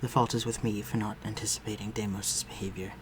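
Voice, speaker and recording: Over a clearly audible bed of ambient noise, a man acts out a courtly vampire lord in an audio drama. His voice sits low, and he speaks in formal, old-fashioned phrases with a gentle, protective care for the human he addresses. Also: little pitch movement, fast, natural voice. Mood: solemn, protective. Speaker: voice actor. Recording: clearly noisy, ambient sound behind voice.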